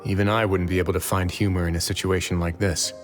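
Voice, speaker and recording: A male actor plays a stern duke who rules a prison fortress in a video game. His voice is low, and he speaks with deliberate, wry composure and quiet menace. Music plays softly behind him. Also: flat delivery, natural voice. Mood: calm, reflective. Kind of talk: dramatic video game voice acting.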